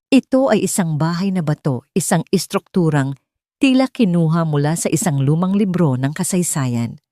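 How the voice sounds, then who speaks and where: A woman's voice: sits low, animated, normal speed, mellow, no echo, clean studio sound. A woman with a Filipino accent reading a scripted dramatic story as its narrator.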